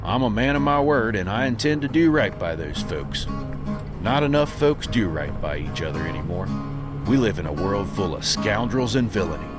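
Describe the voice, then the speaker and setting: A man's voice: lowish voice, animated, normal speed, loud music behind the voice, close to an everyday voice. A man playing an earnest, self-righteous folksy drifter hero in a comedy western audio drama. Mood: nostalgic, righteous. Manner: folksy drawling cowboy speech.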